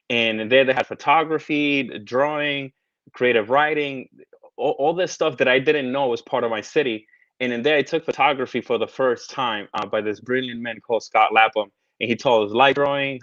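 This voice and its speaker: A man in his thirties speaks as an interview guest at a middle pitch, often stopping to restart his words and filling gaps with ums. The recording is dry and dull, like a video call. He talks in a loose, casual way. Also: fairly bright tone, no echo. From United States, raised partly in the Caribbean. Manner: hesitant, many restarts and fillers. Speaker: guest, tarot artist.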